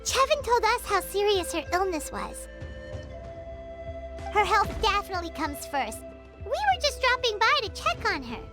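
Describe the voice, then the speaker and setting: Squeaky, tiny childlike fairy companion. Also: female voice, big pitch swings, normal speed, pauses often, over music. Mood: reassuring. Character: small childlike fairy companion, cheerful and eager. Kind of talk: animated video game dialogue.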